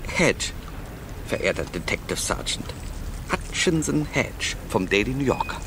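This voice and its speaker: An actor playing a cheeky, sardonic newspaper reporter in a radio play, mocking and ironically polite. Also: male voice, mid-range voice, sing-song, measured pace, background noise. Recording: waterside sound effects faintly behind.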